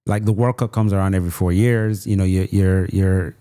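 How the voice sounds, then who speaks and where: A man's voice: low voice, fairly even intonation, a little fast, mellow, smooth. A man from Nigeria hosting a podcast, chatting casually with his guest and nudging him along with frequent affirming murmurs. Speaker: podcast host. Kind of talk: casual interview chat.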